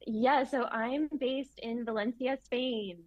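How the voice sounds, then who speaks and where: A woman's voice: on the high side, steady tone, slow, a little mellow, no room sound, lo-fi sound. An American woman, a business founder, talking in a friendly, rambling way as a podcast guest over a remote call. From United States. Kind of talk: casual interview talk.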